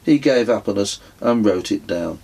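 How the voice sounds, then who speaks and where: A man reading his own memoir as an audiobook, telling it in a measured, wry storytelling way. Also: lowish voice, lively intonation, creaky, warm tone, slightly rough. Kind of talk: scripted audiobook narration.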